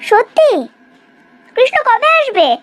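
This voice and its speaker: A woman's voice: very high voice, animated, slow pace, fairly bright tone, full character voice. A woman playing an indignant, bossy middle-aged mother in a cartoon family drama, scolding and exclaiming. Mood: bossy, displeased.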